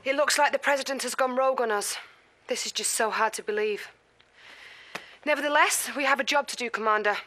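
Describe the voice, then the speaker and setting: An actress playing an earnest young lieutenant giving video game mission briefings, in a businesslike manner. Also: female voice, sits high, a little fast, sharp tone, a little hiss or hum. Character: earnest young female military intelligence lieutenant.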